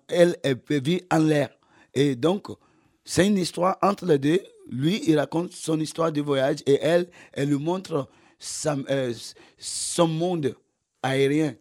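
A man from Nigeria whose first language is English, speaking French as a festival organizer in an interview, halting with many fillers and restarts. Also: fairly high pitch, darkish tone. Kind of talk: radio interview.

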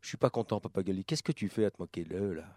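A man's voice: deep voice, lively intonation, very fast, dark tone, dry recording, studio quality. A man hosting a radio show, joking and rambling with fillers. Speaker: radio host. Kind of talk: casual radio banter.

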